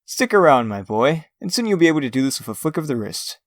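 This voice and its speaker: A man's voice: a little high, very animated, quick pace, no echo, studio quality. A man playing a theatrical magician and escape artist in a comic dub, lecturing in a grandiose, urbane and condescending way. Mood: brisk, condescending.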